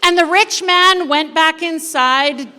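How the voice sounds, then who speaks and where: A woman leading a live dramatic reading as a church storyteller, with playful, emphatic phrasing and pauses. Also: extremely high pitch, pushed and bright. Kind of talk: animated storytelling to a live audience, with asides to participants. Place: large indoor church room. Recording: reverberant hall, live event sound.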